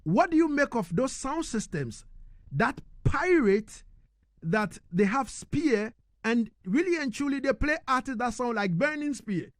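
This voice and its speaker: A man with a notably high voice hosts a radio music interview in a very dry, close sound. He feels his way into questions, repeating words, and restates points with emphasis. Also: expressive, a little slow, no echo. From Ghana.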